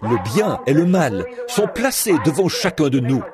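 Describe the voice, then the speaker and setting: A man delivering a translated sermon in a lively preaching cadence, repeating words as he goes. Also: a little high, normal speed, crowd noise. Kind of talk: French reading of a translated sermon.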